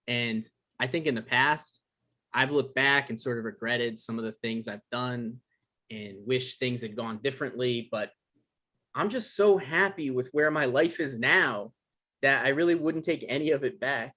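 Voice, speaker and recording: A man acting an anxious, defensive novelist in a table read, speaking in a halting, earnest way. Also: mid-range voice, pushed and bright. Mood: nervous, earnest. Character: anxious, defensive male novelist caught in romantic trouble.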